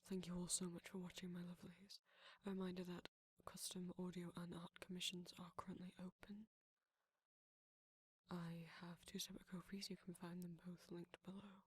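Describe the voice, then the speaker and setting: A woman speaking in a soft, breathy whisper, reading out ASMR outro credits in a gentle, measured way. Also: on the low side, level tone. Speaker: audio roleplay creator reading credits.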